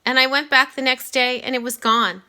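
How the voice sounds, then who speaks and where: A woman's voice: high voice, fairly even intonation, moderate pace, sharp tone, smooth. A woman voicing an eager, bragging schoolboy in a storybook. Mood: aggrieved.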